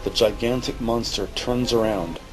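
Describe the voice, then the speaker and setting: A man narrating a scripted audio drama in a measured, dramatic manner. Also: medium pitch, soft background music, rough recording.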